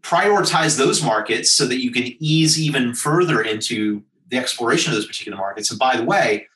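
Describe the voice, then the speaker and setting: Emphatic American man, bright and roomy. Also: mid-range voice, a little fast, distant mic. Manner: emphatic, persuasive, rhetorical lists. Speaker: business executive guest. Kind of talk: interview answer, advisory. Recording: roomy sound, voice from off-mic.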